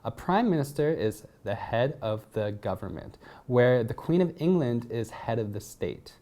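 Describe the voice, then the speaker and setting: A man's voice: medium pitch, animated, normal speed, mellow. A man from Canada giving a prepared, upbeat talk to students, rattling off facts in a list-like way. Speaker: English teacher.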